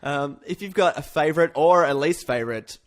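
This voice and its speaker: A man hosting a podcast, chatting casually and informally about pop culture with animated, slangy phrasing. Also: on the high side, brisk. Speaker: podcast host.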